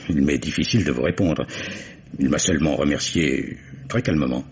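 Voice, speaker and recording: An actor playing a grave, formal older gentleman publisher in a radio mystery, speaking in deliberate, literary phrases. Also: slight background noise. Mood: formal, reserved. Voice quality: very deep, husky. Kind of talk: French radio mystery drama acting. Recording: dull old radio recording.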